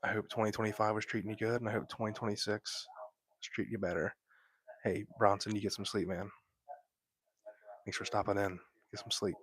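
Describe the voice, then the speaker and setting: A young man whispering softly into a close microphone while hosting a laid-back late-night livestream from bed at home. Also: lowish voice, flat delivery, a little fast, long pauses, breathy edge, no echo, low-quality recording. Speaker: livestream host.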